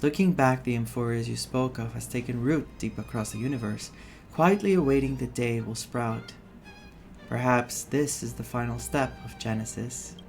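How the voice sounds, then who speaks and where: A man streaming a story game, reading dialogue aloud and adding his own expressive reactions. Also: medium pitch, moderate pace. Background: game music.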